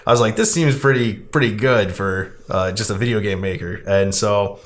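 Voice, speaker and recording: Podcast host, man, casual and halting, wanders through retellings. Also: on the low side. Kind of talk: casual chat, recapping a film.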